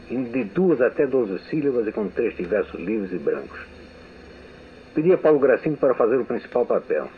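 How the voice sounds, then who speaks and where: Older male radio veteran, measured reflective delivery; thin phone-like archival sound. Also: mid-range voice. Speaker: radio writer giving a testimony. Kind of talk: memoir reading. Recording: tinny lo-fi archival audio with hiss.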